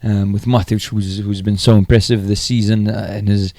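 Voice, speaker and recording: Guy on a football podcast, lowish voice, casual match recap, close dry sound. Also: level tone, normal speed, warm tone, no room sound. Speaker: podcast host.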